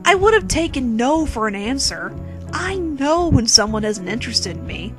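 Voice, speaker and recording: A suave young man, played by a woman in a comedic fan fiction reading, easily flustered and exasperated. The pitch leaps about in a very animated way, with quirky music playing behind. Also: moderate pace. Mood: uneasy, evasive.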